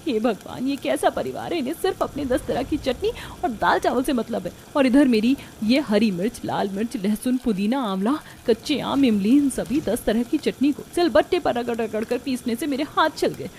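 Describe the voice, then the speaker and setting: A woman's voice: on the high side, animated, normal speed, hardly any pauses, a little mellow, a little hiss or hum. A woman voicing a dutiful, weary young daughter-in-law in an audio story, polite and plaintive. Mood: pained, plaintive. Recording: music behind the voice.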